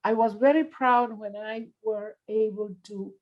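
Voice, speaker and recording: An older woman from Cuba, a native Spanish speaker, talking haltingly on an art panel about her experience. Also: medium pitch, fairly even intonation, dull audio. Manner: halting, searching for words. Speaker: panel artist. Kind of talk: conversational panel discussion.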